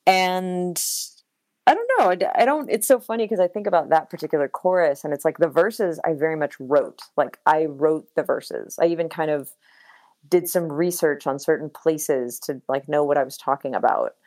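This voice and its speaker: A woman's voice: sits low, moderate pace, a little mellow, no echo. An American woman talking reflectively in a casual interview, with many fillers and laughs. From United States. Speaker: singer-songwriter guest.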